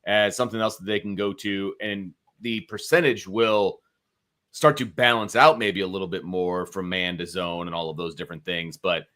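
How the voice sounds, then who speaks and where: A man's voice: low voice, steady tone, normal speed, bright, forceful tone. An American man co-hosting a sports talk show, giving casual analysis and informally talking through his reasoning.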